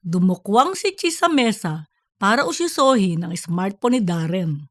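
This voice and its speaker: A woman's voice: medium pitch, sing-song, a little slow, dry recording, clean studio sound. A woman reading scripted narration for a comedic fiction story. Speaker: audiobook narrator.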